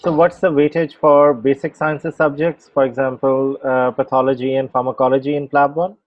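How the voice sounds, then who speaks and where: A man's voice: mid-range voice, little pitch movement, moderate pace, dry recording. Man moderating over dull, dry call audio. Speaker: webinar moderator. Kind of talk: reading out audience questions.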